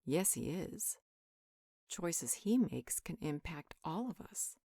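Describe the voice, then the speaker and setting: A protective, motherly magical healer played by a woman in a roleplay audio drama. Her voice sits low for a woman and is recorded very dry. She talks in an informal, affectionate way, soothing whoever she is caring for. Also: very animated, slow pace, dark tone, slightly rough, dry recording, full character voice. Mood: reassuring, reasoning.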